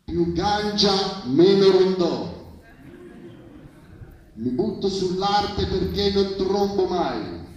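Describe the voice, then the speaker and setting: A man's voice: high-pitched, lively intonation, drawn-out words, pauses often, lo-fi sound, a little hiss or hum. A man performing spoken-word poetry at a live reading, in an incantatory manner built on repeated refrains. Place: live public reading, heard from a distance. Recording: very echoey, distant live recording.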